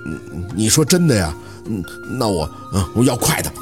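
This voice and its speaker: Male narrator as meek, put-upon young schoolkid, plaintive and hesitant, swinging pitch, audiobook over light music. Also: big pitch swings, brisk, close to an everyday voice. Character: timid, aggrieved younger schoolchild, bullied and hesitant.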